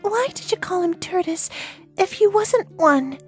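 Storybook child: contrary, curious little girl, very high pitch, quick to protest. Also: animated, faint music behind. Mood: curious, pert. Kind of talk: storybook character voice.

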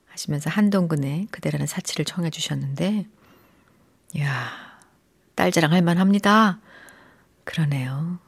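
A middle-aged woman hosting a radio show in a studio, chatting gently with listeners and often softening into a breathy near-whisper. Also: low voice. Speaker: radio host. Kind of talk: warm radio chat reading listener messages. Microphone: studio microphone.

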